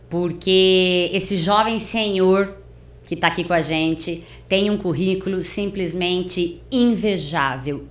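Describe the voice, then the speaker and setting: A Brazilian woman hosting a studio interview in an effusive, emphatic, enthusiastic way. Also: mid-range voice. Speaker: interview host. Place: recording studio. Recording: roomy echo, faint background noise.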